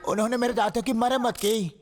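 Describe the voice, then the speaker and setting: A man's voice: very high voice, steady tone, quick pace, noisy background. A man voicing a protective, devoted male shark in a Hindi cartoon dub, earnest and pleading. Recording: music behind the voice.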